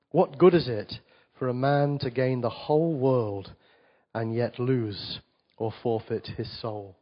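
Slightly croaky preacher. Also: male voice, medium pitch. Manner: chatty, asks the congregation questions, reads scripture aloud. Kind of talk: conversational sermon to a congregation. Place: church service before a congregation. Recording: dull lo-fi recording.

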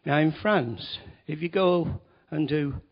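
A man's voice: a little high, slow. A man giving a conversational sermon in a church hall, with wry asides and emphatic stresses. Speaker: lay preacher. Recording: dull lo-fi recording.

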